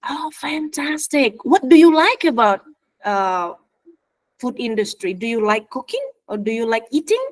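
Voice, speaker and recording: A lively woman with an Indonesian accent runs an online English lesson over a video call. Her voice sits a bit high, and she coaches her students through role-play, switching freely between Indonesian and English. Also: unhurried. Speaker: English teacher.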